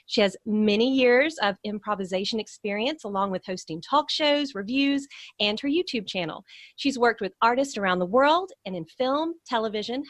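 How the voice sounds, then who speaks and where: A woman's voice: medium pitch, a bit bright, no room sound. A woman hosting a podcast, speaking as an interviewer introducing and chatting with a guest. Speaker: podcast host. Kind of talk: scripted podcast introduction and friendly interview chat.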